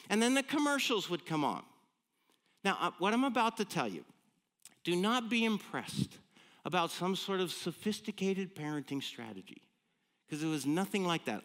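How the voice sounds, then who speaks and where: An American man in his late fifties delivers a sermon as if chatting with friends. His voice sits a little high and swings widely in pitch. He tells stories with folksy, self-deprecating humor and often checks in with rhetorical questions. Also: a bit bright. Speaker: preacher. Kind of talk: conversational sermon with humorous storytelling.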